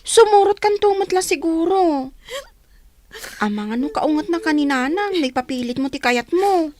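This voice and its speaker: A woman's voice: sits high, animated, normal speed, darkish tone. A woman with an Ilocano accent playing an outspoken young girl, the older sister, in a radio drama. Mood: teasing, dismissive.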